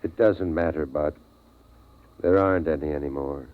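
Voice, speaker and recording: An actor playing a patient, weary, protective father with an American accent in a 1950s radio drama, terse and steady. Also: rumbling low, moderate pace. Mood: soothing, weary. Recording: muffled, tinny vintage radio recording.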